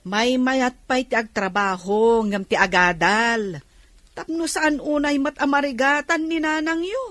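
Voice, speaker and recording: A woman's voice: high-pitched, a little slow, fairly bright tone, dry recording. A woman with an Ilocano accent playing a nosy, pushy middle-aged neighbour in a radio comedy drama, mocking and wheedling. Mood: mocking, prying. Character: nosy, pushy older neighbour woman, always asking loans.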